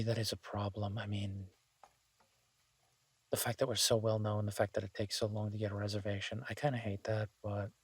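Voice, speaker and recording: A man playing a laid-back, idealistic chef in an audio roleplay, talking casually with hesitant pauses and mild swearing. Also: on the low side, breathy edge, no echo. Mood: frank, reflective. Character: laid-back, idealistic male chef, devoted to honest food. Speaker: chef and restaurant owner character in an audio roleplay. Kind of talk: intimate conversational audio roleplay.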